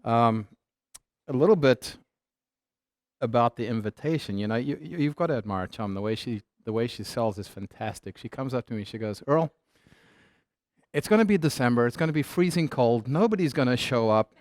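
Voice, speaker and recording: A man's voice: medium pitch, lively intonation, normal speed, darkish tone, no room sound, clean studio sound. A man from South Africa with a mixed South African accent, giving a humorous, conversational business talk. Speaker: keynote speaker.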